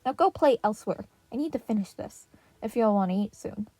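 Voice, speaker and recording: A woman's voice: mid-range voice, animated, a little fast, mellow, no room sound, lightly acted. A woman doing a weary rat father. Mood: tired. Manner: tired, patient, matter-of-fact. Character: weary father rat raising four boys.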